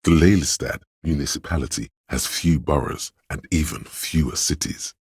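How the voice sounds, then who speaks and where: An actor voicing an erudite, worldly inner voice of knowledge in a video game, narrating facts in a measured, dry, scholarly way. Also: male voice, a bass voice, a little mellow, breathy edge, no echo, full character voice. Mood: measured, knowing.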